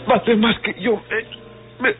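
An actor playing a gravely wounded, frightened outlaw with a Mexican accent, weak and halting, in a radio drama. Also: male voice, high voice, fairly even intonation, heavily acted. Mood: weak, fearful. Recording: muffled old radio recording.

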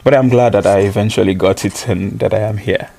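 A man's voice: on the low side, steady tone, brisk, gravelly, warm tone. A man, answering interview questions thoughtfully, with many ums and an easy laugh. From Nigeria. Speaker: interview guest, student.

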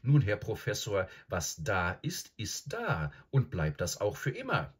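A man's voice: on the low side, wildly swooping pitch, a little slow, husky, voice pushed up, full character voice. A man voicing a polite, puzzled schoolboy in a storybook, reasoning earnestly and skeptically. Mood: doubtful, earnest. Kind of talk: audiobook character acting.

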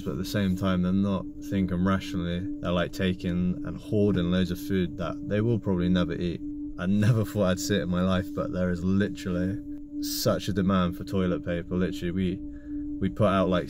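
A man, giving a casual account to camera from inside a car. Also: deep voice. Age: 20s. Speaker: supermarket worker sharing experience. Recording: music bed under speech. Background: music.

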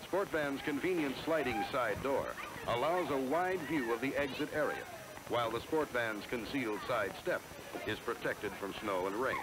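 A man's voice: mid-range voice, moderate pace, low-quality recording. An American man reading scripted promotional narration in a polished, emphatic salesman style, on a 1970s film soundtrack. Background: music.

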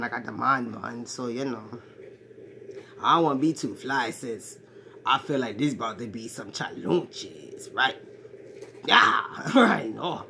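A woman's voice: very deep voice, fairly bright tone, a little hiss or hum, exaggerated voice. Woman playing a brash crude fortyish man. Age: 40s. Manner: crude, rambling, swaggering street talk. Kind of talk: comedic character monologue to camera. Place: small cluttered bedroom indoors. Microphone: phone at arm's length.

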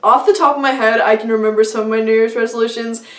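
Young woman, casual vlog to camera, upbeat, chatty, higher voice, roomy echo. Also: fairly even intonation, fast, far from the mic. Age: young adult. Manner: chatty, upbeat, many "like" and "I guess" fillers. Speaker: vlogger. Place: small indoor room before a plain wall.

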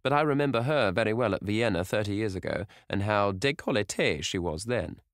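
A man voicing an excitable elderly society hostess who gushes and scolds in high exclamations. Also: brisk, no room sound, studio quality. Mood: scolding. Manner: gushing, scolding, shrill exclamations.

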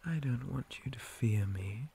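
A man voicing a possessive, menacing spider monster captor in an ASMR roleplay, mostly in a breathy whisper with deliberate, theatrical menace. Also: medium pitch, mellow, slight background noise. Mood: controlling, haughty.

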